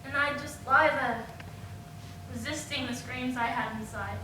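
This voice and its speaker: An anguished young woman, acted by a woman in a stage monologue, speaks slowly in a high voice with heavy dramatic pauses. Her theatrical delivery is heard from far off in a very echoey, noisy room. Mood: wounded. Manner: halting, dramatic pauses, swinging from hushed to screamed.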